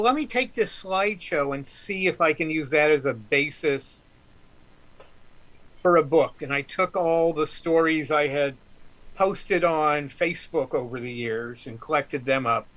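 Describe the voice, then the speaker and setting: A man's voice: a little high, unhurried, fairly bright tone, rough recording. A man guest author telling stories on a live stream, rambling with many ums and restarts. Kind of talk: casual storytelling on a live stream.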